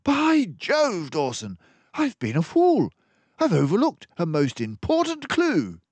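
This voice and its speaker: A man voicing a brilliant, excitable, commanding mouse detective in a children's storybook, speaking in an emphatic, theatrical way. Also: high voice, slow, slightly rough, no echo, pitched above the performer's own voice, full character voice. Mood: excited, decisive. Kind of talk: children's storybook read-aloud.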